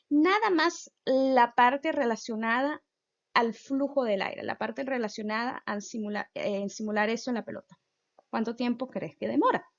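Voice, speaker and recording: A woman's voice: on the high side, lo-fi sound. A woman in her thirties with a Venezuelan accent, a physicist giving an informal outreach talk and speaking straight to the listener. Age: 30s. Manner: chatty, addresses listener directly. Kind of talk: informal science explainer.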